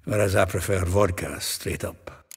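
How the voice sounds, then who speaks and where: Game casting: chatty, bawdy gossip, rough gravelly rasp, amused and earthy. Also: male voice, sits low, fairly even intonation, unhurried, heavily acted. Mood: wry, confiding. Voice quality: gravelly, very husky. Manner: chatty, chuckling storyteller. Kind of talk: video game cutscene acting.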